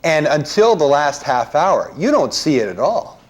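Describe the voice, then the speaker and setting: A man's voice: on the high side, big pitch swings, moderate pace. A man talking as a guest panelist in a conversational film discussion, explaining his points.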